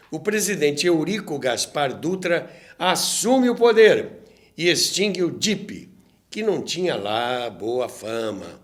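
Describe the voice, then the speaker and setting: A Brazilian man narrates a documentary at a slow, deliberate pace. His delivery is very lively in pitch and sits slightly high for a man. He explains like a teacher and slips in conversational asides to the listener. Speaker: host and narrator of a radio history show.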